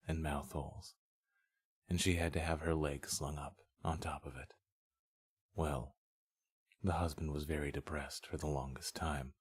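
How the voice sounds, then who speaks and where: A man's voice: rumbling low, breathy edge, exaggerated voice. A man voicing an earnest, brooding husband telling a sad tale in a conversational, emphatic audiobook monologue.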